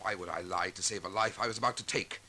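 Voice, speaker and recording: A man's voice: low-pitched, quick pace, bright, forceful tone, heavily acted. An actor playing a bitter, desperate accused man in a 1940s radio drama, intense and impassioned.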